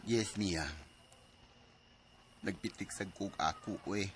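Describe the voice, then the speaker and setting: A man's voice: low voice, slow pace, a little hiss or hum. An actor with a Cebuano Filipino accent playing a man shyly confessing his love in a radio drama. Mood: earnest confession.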